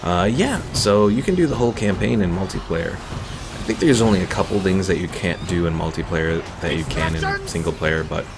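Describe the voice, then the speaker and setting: A man giving relaxed, casual live game commentary as a streamer, thinking aloud as he plays. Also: sits low, normal speed, noisy background. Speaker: gaming streamer. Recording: game music behind the voice.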